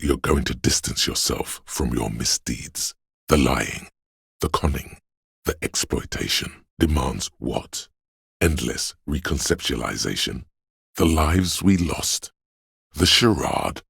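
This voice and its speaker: Grave inner voice of empathy, literary game narration, rumbling bass, gravelly, measured, close dry recording. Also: male voice, no echo, exaggerated voice. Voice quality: gravelly, husky.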